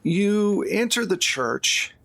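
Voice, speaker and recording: Slow-paced man narrating a tabletop game. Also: high-pitched, expressive, vocal fry, fairly bright tone, no room sound. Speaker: game master.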